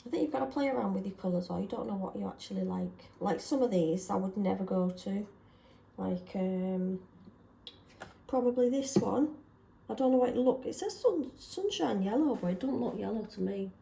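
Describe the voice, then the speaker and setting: A woman chatting casually and self-deprecatingly while testing paint colours, slightly hoarse from a sore throat. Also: medium pitch, expressive, moderate pace, mellow, reverberant, a little hiss or hum, rough recording. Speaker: craft vlogger.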